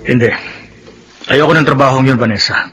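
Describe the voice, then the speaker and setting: A man's voice: mid-range voice, moderate pace, a bit bright, soft background music, lightly acted, low-quality recording. An actor in a radio play voicing a patient, hardworking husband who pleads with his wife. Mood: pleading. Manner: earnest, pleading. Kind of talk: radio drama acting.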